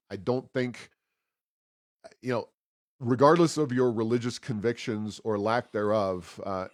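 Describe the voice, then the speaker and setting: A man hosting a podcast, giving conversational political commentary with frequent pauses mid-sentence. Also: lowish voice, no room sound, studio quality. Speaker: podcast host.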